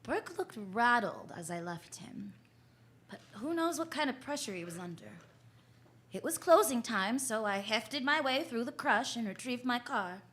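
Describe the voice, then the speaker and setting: A woman's voice: a little high, animated, normal speed, fairly bright tone. A woman playing a wry, flirtatious, self-assured private eye in the style of 1940s radio drama, full of slangy quips. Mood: wry narrating. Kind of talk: 1940s radio drama acting with first-person narration.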